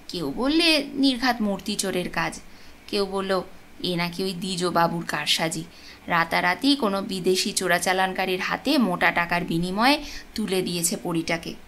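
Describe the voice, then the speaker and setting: A woman narrating a supernatural tale as a storyteller, with expressive, deliberate phrasing. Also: mid-range voice. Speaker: storyteller, channel host. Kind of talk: dramatic audiobook narration of a ghost story. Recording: clear background noise and ambience.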